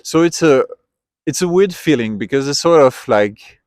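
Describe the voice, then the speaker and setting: A man's voice: medium pitch, expressive, moderate pace, a little mellow, dry recording, clean studio sound. A young man from Switzerland answering a street interviewer, thoughtful and full of restarts and repeated words. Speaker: tourist being interviewed. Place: outdoors at a memorial site.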